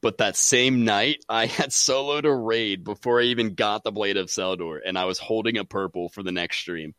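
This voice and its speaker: A man chatting casually about games as a podcast guest, excitable and saying "dude" often. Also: fairly low pitch, moderate pace, dry recording. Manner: excitable, says "dude" a lot. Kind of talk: casual gaming chat.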